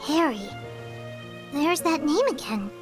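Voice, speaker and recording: Super high squeaky little fairy girl, bubbly game sidekick, music playing under her. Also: sing-song, slow, heavily acted. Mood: earnest. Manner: talks about herself in the third person. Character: tiny chatty female fairy companion, excitable. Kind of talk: game dialogue.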